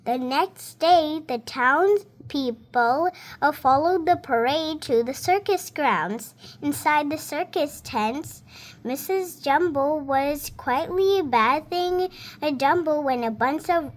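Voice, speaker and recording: Young girl, around seven, high voice, slow and careful storybook read-aloud. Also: lively intonation. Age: child, about seven. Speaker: young reader.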